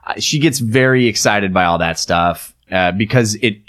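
A man's voice: lowish voice, moderate pace, fairly bright tone. A man co-hosting a podcast, chatting casually in a wry, playful way. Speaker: podcast co-host. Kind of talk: casual comedic chat.

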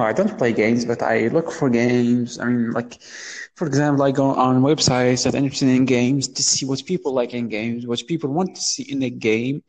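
Hesitant young Moroccan man, reverberant sound. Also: medium pitch. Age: 20s. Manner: hesitant, searching for words. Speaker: interview guest. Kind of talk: casual interview conversation.

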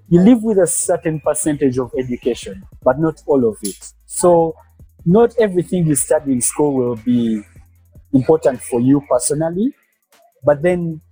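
A young man from Uganda, a guest entrepreneur, talking in a podcast interview with hesitant pauses and restarts. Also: a little high, slightly rough, no room sound, low-quality recording. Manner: hesitant, many fillers and restarts, soft-spoken.

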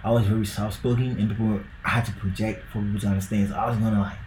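A man's voice: on the low side, flat delivery, racing, darkish tone, roomy sound, slight background noise, rough recording. A young man from Ghana with a Ghanaian accent, talking loosely on a podcast and filling his talk with likes and bros. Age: young adult. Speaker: podcast co-host. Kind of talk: casual podcast chat.

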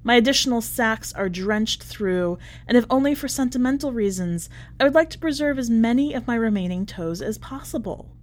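A woman voicing a polite, chatty, quietly unhinged prisoner writing a letter, in a prim manner, as a dramatic story reading. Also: fairly high pitch, expressive, moderate pace, hardly any pauses, lightly acted. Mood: polite, confiding. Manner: prim, ornate, politely understated phrasing.

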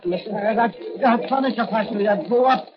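Brief: high-voiced, excitable patrolman, loyal sidekick, old muffled radio sound. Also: moderate pace, husky. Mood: urgent. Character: burly excitable Irish beat cop, loyal partner. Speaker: police officer character. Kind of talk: 1940s radio acting. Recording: muffled old radio transcription with faint hiss.

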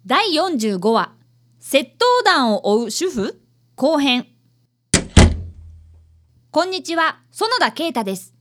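A woman's voice: sits high, sing-song, slow pace, pauses often, fairly bright tone, exaggerated voice. A woman playing a dutiful fifth-grade boy apprentice detective who narrates a radio drama. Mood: earnest narrating.

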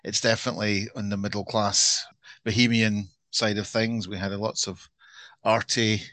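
Scottish glasgow man, middle-aged, lowish voice, dry close recording, wry, halting. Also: flat delivery, a bit bright, dry recording. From Scotland. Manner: self-deprecating, wry, halting restarts. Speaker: podcast co-host, interviewee. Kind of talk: casual podcast chat.

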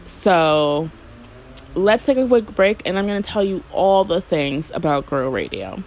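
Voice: medium pitch, lively intonation, unhurried, a little mellow, slight background noise, poor audio. A podcast host in a casual solo monologue, rambling and chatty, with lots of fillers and the odd swear word.

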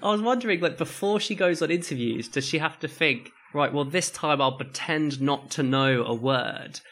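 A man's voice: normal speed, a bit bright. A young man chatting casually as a podcast co-host, telling rambling anecdotes and laughing often. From England. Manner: rambling storyteller, laughs often, mimics people in higher voices. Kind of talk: casual chat.

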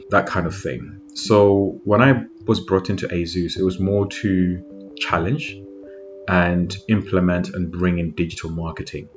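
A man from Zimbabwe hosting a podcast, talking in a relaxed, reflective way. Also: low voice. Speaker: podcast host. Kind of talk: casual talk. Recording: dull lo-fi sound with faint noise.

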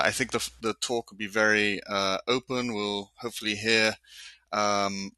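A man's voice: lowish voice, dry recording. A man hosting a live online architecture discussion, chatting casually with fillers and easy laughs. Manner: relaxed, rambling, frequent fillers and laughs. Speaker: host of an architecture talk.

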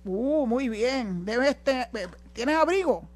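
A woman's voice: high-pitched, lively intonation, takes it slowly. An older woman with a Puerto Rican accent hosting a political call-in show in a direct, emphatic way. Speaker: radio call-in host.

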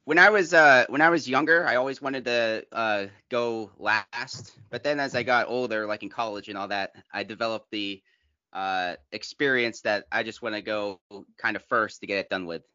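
Playful bright-voiced man in his thirties. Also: on the low side, moderate pace, dry recording, muffled recording. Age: 30s. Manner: playful, hesitant, laughing. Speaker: podcast co-host. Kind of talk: casual podcast chat.

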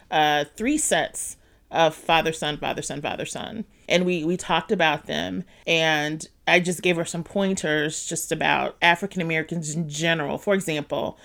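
A woman's voice: deep voice, a bit bright. A woman talking conversationally in an interview as a children's author.

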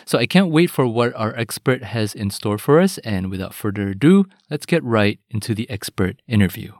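A man's voice: lowish voice, lively intonation, moderate pace, a little mellow, dry recording, studio quality. A young man from Singapore with a Singaporean English accent, hosting a podcast in an eager, upbeat way. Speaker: podcast host. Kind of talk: podcast interview.

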